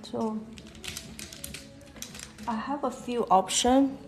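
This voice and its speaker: A woman with a Chinese accent, whose first language is Chinese, talks haltingly while she draws fashion illustrations on a live stream. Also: fairly high pitch, darkish tone, faint music behind. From China. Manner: halting, thinking aloud while drawing. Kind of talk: live-stream drawing commentary. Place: at a desk, drawing on paper.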